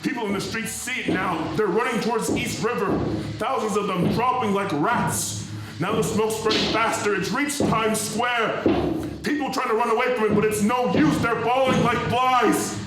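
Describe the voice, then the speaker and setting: A man's voice: high voice, moderate pace, heavy background noise, close to an everyday voice. A man playing a grave, increasingly desperate 1930s radio announcer in a live radio drama, with clipped, dramatic bulletin delivery. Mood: rising panic. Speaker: actor. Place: live stage performance in a hall, music behind. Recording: very echoey live theatre recording.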